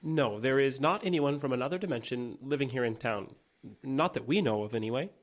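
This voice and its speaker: A man's voice: medium pitch, a little fast, lightly acted. An actor playing an earnest, slightly awkward small-town man in an audio drama, hesitant and conciliatory. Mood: placating.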